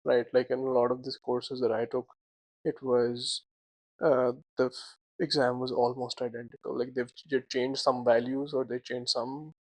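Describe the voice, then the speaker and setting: A man's voice: mid-range voice, normal speed, no echo. Chatty young man, lots of "like" and "right?". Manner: animated, laughs often, many fillers like "like" and "right?". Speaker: podcast co-host. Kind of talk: casual chat.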